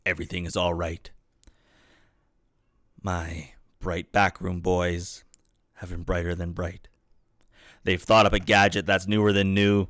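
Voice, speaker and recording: A man's voice: on the low side, flat delivery, a little fast, few pauses, a bit bright, clean tone, no echo, close to an everyday voice. A confident, commanding storybook chief acted out by a man reading aloud. He rallies and reassures like a leader giving a pep talk. The recording is dry and close. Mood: reassuring. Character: confident male war chief creature, rallying and reassuring.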